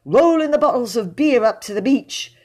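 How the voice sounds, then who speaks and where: A woman's voice: a little high, expressive, moderate pace, a little mellow, voice pushed up. A woman playing a swaggering smuggler hero. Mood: bold, defiant. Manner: bold, declamatory.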